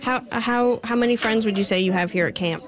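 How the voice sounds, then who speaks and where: Female radio reporter, fairly high voice, putting simple friendly questions to kids. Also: fairly quick. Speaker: radio reporter interviewing children.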